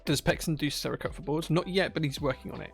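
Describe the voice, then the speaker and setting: A man's voice: on the high side, fast talker, vocal fry, faint music behind. A man with a Yorkshire accent chatting casually on a live stream, reading out viewer messages with a jokey, rambling manner. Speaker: streamer building keyboards.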